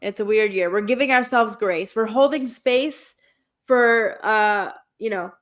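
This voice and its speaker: A woman's voice: mid-range voice, unhurried. A woman hosting a casual film podcast, talking in an easy chat with many fillers, her voice nasal from a cold. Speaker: podcast host.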